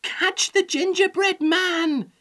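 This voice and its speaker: Woman acting an alarmed old woman, shrill and high. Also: steady tone, takes it slowly, fairly bright tone, heavily acted. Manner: urgent, exclaiming.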